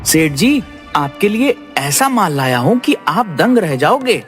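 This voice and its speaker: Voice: mid-range voice, sing-song, measured pace, faint music behind. A performer playing a sly, self-serving, boastful young brother in an audio moral story. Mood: wheedling.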